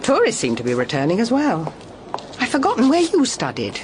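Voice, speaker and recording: Female private detective, educated English accent, lively intonation, measured questioning, radio mystery, faint background noise. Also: a little high. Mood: thoughtful. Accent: British, educated southern English. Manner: measured, inquisitive, crisply formal. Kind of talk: radio detective drama acting.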